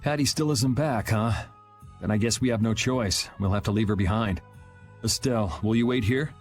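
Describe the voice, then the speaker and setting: An actor playing a self-assured young adventurer in a video game, with a dry, offhand, decisive delivery. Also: male voice, on the low side, normal speed, natural voice. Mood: resolute. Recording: music under the voice.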